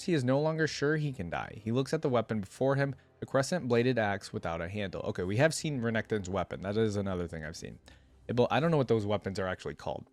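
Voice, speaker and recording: A man reading a story aloud in a solemn, dramatic way, with casual asides as a reaction host. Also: fairly low pitch.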